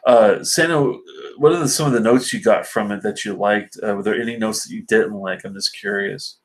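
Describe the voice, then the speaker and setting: A man's voice: on the low side, a little fast. A man hosting a casual live stream about whisky, reading and answering viewer comments in a rambling, filler-heavy way, sounding stuffy and sinusy from allergies. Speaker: whisky reviewer host.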